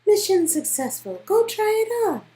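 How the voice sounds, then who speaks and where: Woman acting eager, awkward, high-voiced geeky young woman. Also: normal speed. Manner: flustered, earnest, excitable.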